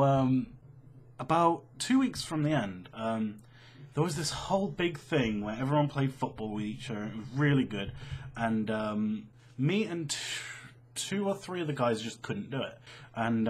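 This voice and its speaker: A young British man tells a story in a casual, chatty way. His pitch leaps around a lot and his voice has a slightly husky grain. He swears freely and pokes fun at himself as he goes. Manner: animated, sweary, self-mocking. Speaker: online storyteller.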